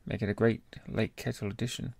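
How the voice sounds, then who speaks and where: A man co-hosting a podcast, giving a measured, analytical take in casual review chat. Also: lowish voice, little pitch movement, gravelly, noisy background. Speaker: podcast co-host.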